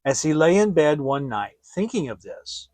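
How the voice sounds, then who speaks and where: Man reading a fairy tale, fairly high voice. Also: big pitch swings, darkish tone, dry recording. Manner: theatrical, deliberate storytelling. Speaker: audiobook reader. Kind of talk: dramatic fairy tale narration.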